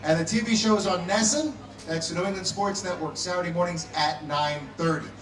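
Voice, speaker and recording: A man's voice: high-pitched, a little fast. Upbeat man hosting a festival stage. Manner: upbeat, promotional, announcer-like. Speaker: radio and TV food show host. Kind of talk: live event hosting. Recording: echoey PA sound from far off.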